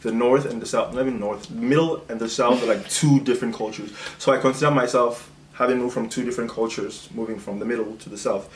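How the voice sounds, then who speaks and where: A young man from Cameroon talks in a casual, explanatory way, like a channel host in conversation. His voice sits at a middle pitch. The room is noticeably echoey, with faint background noise. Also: fairly even intonation, quick pace, few pauses.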